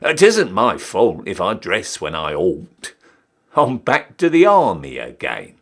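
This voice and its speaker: Man acting a cheeky old-style British soldier, wildly animated. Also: sits low, slow, pitched below the performer's own voice, full character voice. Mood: rueful, defiant. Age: young adult. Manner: theatrical, emphatic, swooping delivery. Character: cheeky down-at-heel ex-soldier re-enlisting, Victorian British army ranker. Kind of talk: dramatic poetry recital.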